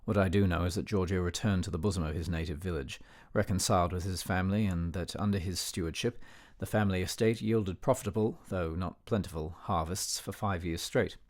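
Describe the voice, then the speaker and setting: Low-voiced, dignified older gentleman narrator played by an actor. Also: fairly even intonation. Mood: measured, reflective. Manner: measured, dignified, censorious. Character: disapproving, educated older man narrating a memoir. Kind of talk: formal scripted radio play narration.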